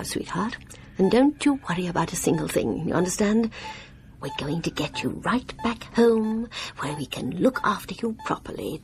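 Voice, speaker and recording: A woman's voice: mid-range voice, vocal fry, darkish tone, a little hiss or hum. An actress playing a widow who coos with condescending, menacing sweetness at her helpless husband in a radio horror drama. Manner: cooing, mock-tender, condescending.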